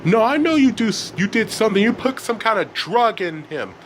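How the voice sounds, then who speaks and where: A man's voice: on the high side, little pitch movement, drawn-out words, a bit bright, slight background noise. A man voicing an angry, defiant schoolboy kickboxer in a story, indignant and insistent, repeating his phrases. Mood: furious, defiant.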